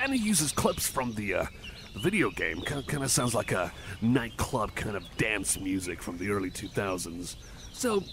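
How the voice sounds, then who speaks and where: A man's voice: fairly low pitch, gravelly, a bit bright, full character voice. A man voicing a shy, apologetic cyborg buddy in an ASMR roleplay, rambling with hesitant, stammering, self-conscious speech like an eager game nerd. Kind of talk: ASMR roleplay, casual one-sided chat. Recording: noisy outdoor ambience with birds. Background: birdsong, outdoor nature ambience.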